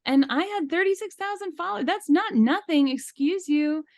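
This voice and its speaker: A woman's voice: very high voice, moderate pace, a little mellow, no echo. A woman co-hosting a podcast, talking casually in an indignant, emphatic and opinionated way. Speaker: podcast host.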